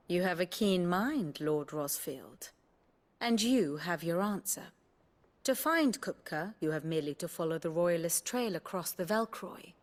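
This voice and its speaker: A woman playing a shrewd scholar and strategist in a fantasy game, speaking in formal, archaic, measured phrases. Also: on the low side, lively intonation. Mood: approving, assured. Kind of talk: scripted fantasy game dialogue.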